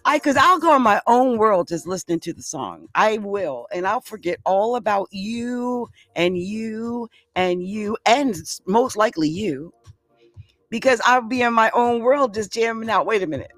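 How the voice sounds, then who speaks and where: A hoarse speaker chatting casually about astrology, rambling and giggling often. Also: medium pitch, lively intonation, normal speed, a bit bright, no room sound, faint music behind. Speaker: astrology vlogger.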